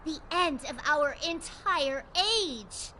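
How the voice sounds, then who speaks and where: A woman's voice: high-pitched, expressive, takes it slowly, sharp tone, slight background noise, exaggerated voice. A woman playing a blind hooded cultist priestess in a video game, ceremonious and grandly pronouncing. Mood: solemn, grand.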